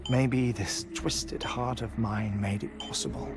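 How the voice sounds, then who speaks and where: An actor playing an eccentric, grieving middle-aged scientist in a video game, speaking in a deliberate, theatrical, eloquent way with thoughtful pauses. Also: male voice, fairly low pitch. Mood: resolute, wistful. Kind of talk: dramatic game cutscene acting. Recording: game soundtrack music behind voice. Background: music.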